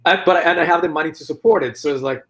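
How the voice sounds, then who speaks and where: A man speaking as a guest on a live talk, reflective and measured. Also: on the high side, a bit bright. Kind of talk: interview answers.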